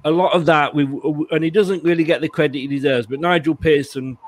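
A man hosting a casual chat show, chatty and quick to laugh, with many restarts. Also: fairly high pitch, fairly even intonation. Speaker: host.

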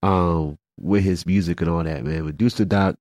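A man with a very low, deep voice hosts a casual podcast chat while congested. He stumbles through restarts and fillers. The sound is dry and close. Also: fairly even intonation, a little mellow, no echo. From Philadelphia. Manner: halting, many repeated words and fillers. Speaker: podcast host. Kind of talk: casual radio-style chat.

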